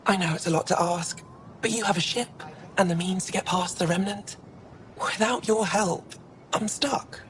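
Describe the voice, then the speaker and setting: An actress playing an earnest, hopeful alien archaeologist in a video game. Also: female voice, moderate pace, lightly acted. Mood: pleading. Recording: clear background noise, low rumble.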